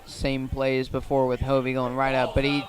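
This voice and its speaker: Even-toned man calling football play-by-play. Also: medium pitch, moderate pace, crowd noise. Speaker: play-by-play commentator. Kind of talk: live radio football commentary.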